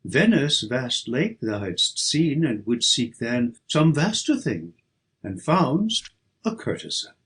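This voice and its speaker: A man with a markedly rough, breathy-husky voice recites poetry for an audiobook. His delivery is slow and declamatory, swinging widely in pitch around a middling register. He reads with theatrical emphasis. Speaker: audiobook reader.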